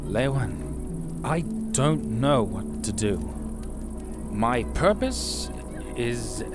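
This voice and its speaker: A man performs a troubled young knight in a fantasy drama. The mid-pitched voice is earnest and searching, with lively swings in intonation. Music sits clearly behind it. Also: drawn-out words. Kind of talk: fantasy audio drama acting.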